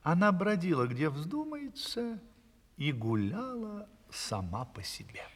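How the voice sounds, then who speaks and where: A man telling a fairy tale to children theatrically, savouring his words with a breathy, hushed delivery. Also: high voice, huge pitch swings, slow pace, big-room sound, full character voice. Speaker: storyteller.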